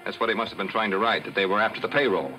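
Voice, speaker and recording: An actor playing a brisk, authoritative police detective in a 1940s film serial, with clipped, businesslike delivery. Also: male voice, on the low side, natural voice, low-quality recording. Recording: vintage film soundtrack, loud music underneath.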